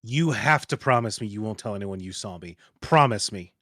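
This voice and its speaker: A man playing a reclusive, defensive hermit in a table read, hesitant and halting in his delivery. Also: fairly low pitch, expressive, a bit bright, no room sound, clean studio sound. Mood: guarded, pleading. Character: reclusive, defensive ancient man hiding in a cave.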